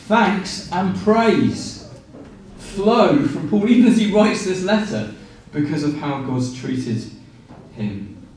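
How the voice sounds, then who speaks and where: A man in his forties preaching a sermon with animated gestures and frequent fillers and restarts. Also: high-pitched, takes it slowly, slight background noise. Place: small room with blinds, indoors. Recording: very echoey room, from a distance.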